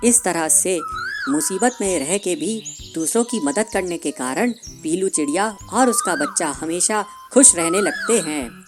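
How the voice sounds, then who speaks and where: A woman's voice: fairly low pitch, animated, measured pace, music in the background. A woman narrating a scripted children's cartoon fable as storyteller.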